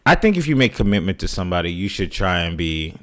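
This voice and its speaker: A man's voice: low voice, very animated, fast, fairly bright tone. A man co-hosting a casual podcast, recounting a story in a rambling way and acting out other people's quotes. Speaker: podcast co-host. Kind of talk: casual chat.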